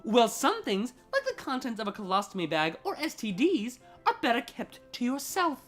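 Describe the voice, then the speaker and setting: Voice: medium pitch, huge pitch swings, normal speed, fairly bright tone, soft background music, pitched above the performer's own voice. A performer voicing an irritable, bossy female siren with a sarcastic, exasperated manner for a story reading. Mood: sarcastic, scornful. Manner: sardonic, snapping, exasperated.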